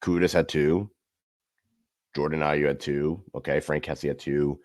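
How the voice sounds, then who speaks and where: A man co-hosting a casual sports podcast, running through player stats with animated asides. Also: very deep voice, moderate pace. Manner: animated, listing stats, swings up when amused. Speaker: podcast co-host.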